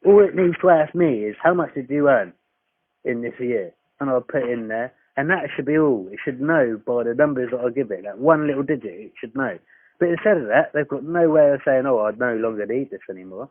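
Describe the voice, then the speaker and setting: A man's voice: mid-range voice, lively intonation, a little fast. Insistent, blunt man on a dull recording. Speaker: caller disputing a tax account. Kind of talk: argumentative phone complaint. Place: phone call, recorded. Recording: dry, dull lo-fi phone call recording.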